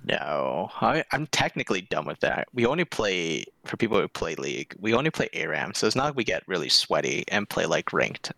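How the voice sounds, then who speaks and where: A man's voice: deep voice, big pitch swings, a little fast, vocal fry, hardly any pauses, bright, forceful tone, no room sound. A young American man chatting casually as a podcast guest, laid-back and candid, with lots of "like". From United States. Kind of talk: casual interview chat.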